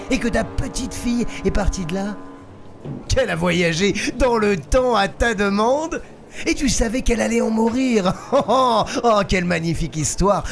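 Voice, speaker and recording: A man's voice: high-pitched, gravelly, full character voice. A man playing a mocking, theatrical crime boss in an audio drama, drawing out his sarcasm. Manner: mocking, drawn-out, sarcastic delivery. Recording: music behind the voice.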